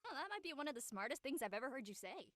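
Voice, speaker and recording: A woman's voice: high-pitched, big pitch swings, rapid-fire, a bit bright, dry recording, studio quality. A woman voicing a blunt, breezy, unapologetic teenage girl in a comedy drama. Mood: teasing. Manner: breezy, matter-of-fact, teasing. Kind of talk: comedic scripted audio drama dialogue.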